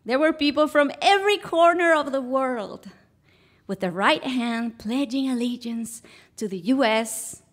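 A woman's voice: high-pitched, lively intonation, a little slow. A woman from Guatemala with a Guatemalan Spanish accent, telling a personal story on stage as a guest. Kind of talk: personal story told to a live audience. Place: live stage show.

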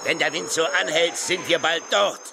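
A man's voice: fairly high pitch, moderate pace, gravelly, background noise. An actor playing a jolly, boastful old seaman uncle in a children's radio play, hearty and playful.

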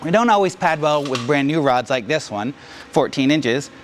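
A middle-aged man with a slightly high voice teaches welding in a plain, practical way. He talks to camera in a workshop, with a little shop noise behind him. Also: lively intonation, normal speed. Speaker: welding instructor. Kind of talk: hands-on demonstration, talking to camera. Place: large indoor welding shop.

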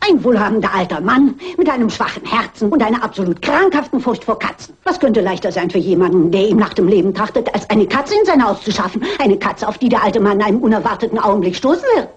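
An actress playing a shrewd, stubborn elderly lady detective in a German crime radio drama, brisk and precise. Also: mid-range voice, animated, husky, highs cut off. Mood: insistent. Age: older. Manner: brisk, self-assured, precise.

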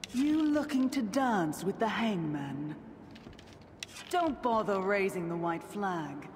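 A woman's voice: mid-range voice, slight background noise. A woman voicing a menacing, swaggering undead pirate captain in a video game, theatrical and taunting with dry humor. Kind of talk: video game character voice lines.

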